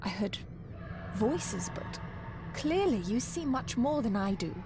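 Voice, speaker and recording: A woman playing a blunt, sceptical but loyal companion in a 1920s mystery video game, with clear ambient noise. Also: fairly high pitch, sing-song, takes it slowly, full character voice. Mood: blunt, concerned.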